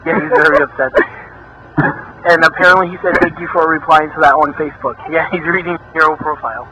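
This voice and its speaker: A man's voice: a little high, fairly even intonation, a little fast, a crowd in the background. A man making an improvised prank call in a mock-official, persistent, argumentative manner. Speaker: prank caller. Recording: noisy lo-fi recorded phone call.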